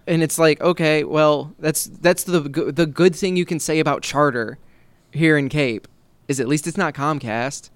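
A man's voice: a little high, steady tone, normal speed, dry recording. A man co-hosting a casual podcast chat, blunt and sarcastic in his opinions. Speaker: podcast co-host.

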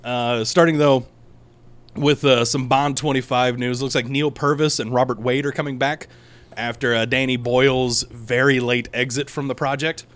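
A man's voice: mid-range voice, fairly even intonation, moderate pace, fairly bright tone. A man hosting a casual podcast chat with a sore, scratchy throat, talking in a rambling way full of ums and restarts. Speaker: podcast host.

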